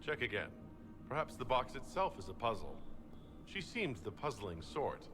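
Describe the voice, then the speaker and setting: A man's voice: medium pitch, sing-song, moderate pace, natural voice. An actor playing a stern, decisive male leader in a fantasy video game. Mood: measured. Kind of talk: measured fantasy game acting. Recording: loud game music under voice.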